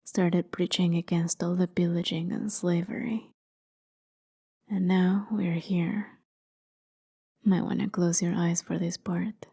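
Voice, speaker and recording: A husky, breathy immortal warrior woman, acted by a female performer, speaks low and unhurried in an intimate ASMR roleplay. She is haughty and world-weary, telling her story with sardonic ease and often sinking toward a whisper. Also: steady tone, exaggerated voice. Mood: wry, reminiscing. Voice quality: soft, breathy, often dropping to a near whisper. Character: immortal warrior demigoddess, haughty, jaded, wry captor. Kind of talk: ASMR roleplay, dramatic monologue.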